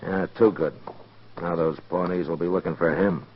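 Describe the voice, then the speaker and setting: An actor playing a steady, determined, dry and plain-spoken marshal in a 1950s radio western. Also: male voice, low voice, normal speed, a little hiss or hum, close to an everyday voice. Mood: steady, explaining. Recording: dull lo-fi 1950s radio recording.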